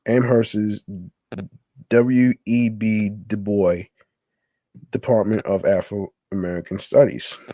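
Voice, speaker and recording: A man with a lowish, mellow voice reads a long text aloud on his podcast. He moves very slowly, often stumbling and restarting mid-word. The sound is close and dry. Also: no echo. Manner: halting reading, stumbling and restarting over words. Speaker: podcast host.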